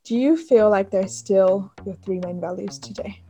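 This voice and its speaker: A woman's voice: mid-range voice, normal speed, warm tone, husky. A woman interviewing a musician, affirming her often and asking long questions. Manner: affirming, repeats "beautiful".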